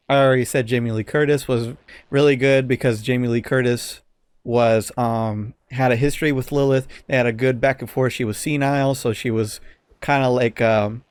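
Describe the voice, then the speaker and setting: A man's voice: medium pitch, clear, resonant tone, no echo. A man co-hosting a podcast, giving a casual, exasperated and profanity-laced movie review. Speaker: podcast co-host.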